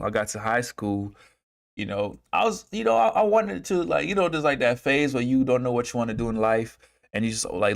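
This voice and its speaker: A man in his twenties from Uganda who moved to America, hosting a casual livestream and talking in a halting, rambling way, repeating phrases and circling back. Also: lowish voice. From Uganda, moved to America as a child. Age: 20s. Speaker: trading streamer and host.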